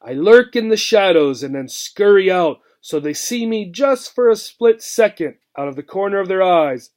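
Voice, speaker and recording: A man voicing a gruff, bitter old mouse in a storybook, scornful and bent on revenge. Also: high voice, expressive, normal speed, a little mellow. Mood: gleefully vengeful. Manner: scornful, emphatic.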